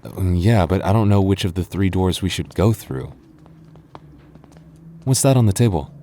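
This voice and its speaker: A man plays a gentle goth boyfriend with a low-pitched voice. He talks in a musing, hesitant way, as if to someone close beside him in an intimate audio roleplay. He comes across as sentimental and tender. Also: animated, normal speed, slight background noise. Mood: puzzled, searching. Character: gentle, sentimental goth boyfriend lost in endless haunted street.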